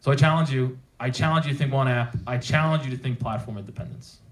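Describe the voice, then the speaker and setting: A man with a mid-pitched voice presents as a conference speaker. He talks informally and drives his points home with emphasis, often posing rhetorical questions to his audience. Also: fast talker. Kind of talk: technical presentation to a live audience. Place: theater at a developer meetup.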